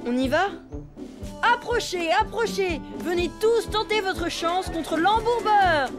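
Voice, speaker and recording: A cocky cartoon boy elephant with a very high voice. He is brash and boastful as he hawks his fairground game, with music underneath. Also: animated, slow, heavily acted. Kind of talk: French cartoon dub.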